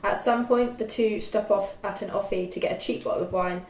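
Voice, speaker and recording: A young woman reading screen directions as narrator at a script table read, halting and stumbling a little over the text. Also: medium pitch, fairly even intonation, darkish tone. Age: young adult. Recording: echoey room.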